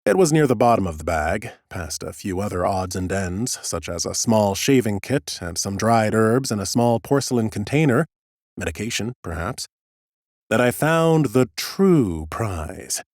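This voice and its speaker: A man narrating an audiobook in the first person as an ancient undead scholar, a fussy, smug, whimsical gentleman with a theatrical, drily humorous delivery. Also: fairly low pitch, expressive, measured pace, no echo, clean studio sound. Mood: curious, appraising.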